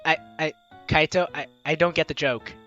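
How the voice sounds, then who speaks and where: A woman's voice: sits low, steady tone, normal speed, a bit bright, muffled recording, soft background music. A woman hosting a game playthrough, reading the narration aloud expressively with playful, giggly commentary. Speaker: gaming video host, player reading aloud.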